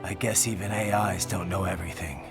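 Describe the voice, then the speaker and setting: A man voicing a grim, sardonic cyborg swordsman in a video game, terse and dry with a gravelly growl. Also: low voice, steady tone, fairly quick, over loud music. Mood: subdued.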